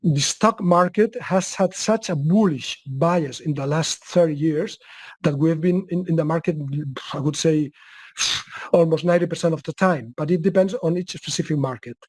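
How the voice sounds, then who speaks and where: A man's voice: a little high, normal speed, a little mellow, dry recording. A man with a Spanish accent presenting in a webinar and answering questions, often restarting his sentences. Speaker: guest presenter.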